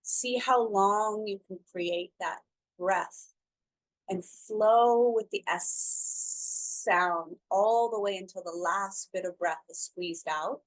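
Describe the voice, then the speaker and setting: A woman guides listeners through a slow breathing meditation in a soft, calming voice. Her pitch sits in the ordinary middle range, and she lets long pauses hang between her gentle phrases. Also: low-quality recording. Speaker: breathwork instructor.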